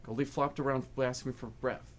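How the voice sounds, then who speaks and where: A man's voice: medium pitch, steady tone, moderate pace, slight background noise, lightly acted. A man playing a doubting, sarcastic goldfish in a comic radio play. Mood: doubtful, sardonic. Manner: sceptical, sarcastic. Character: doubting, sardonic pet goldfish. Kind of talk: stage radio comedy acting.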